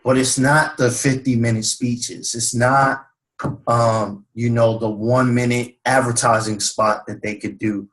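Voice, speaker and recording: A young man runs a video call meeting at a plain middle pitch, speaking casually. He fills his sentences with ums and quick agreements. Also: fairly even intonation, fairly bright tone, poor audio. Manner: casual, many fillers and agreeing murmurs. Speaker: team leader.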